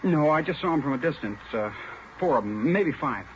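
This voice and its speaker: An actor playing an earnest, polite young navy sailor as a hesitant eyewitness in 1940s radio drama style. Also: male voice, on the high side, animated, a little fast, natural voice. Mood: cooperative. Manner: hesitant yet eager, polite. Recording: muffled, noisy 1940s radio broadcast.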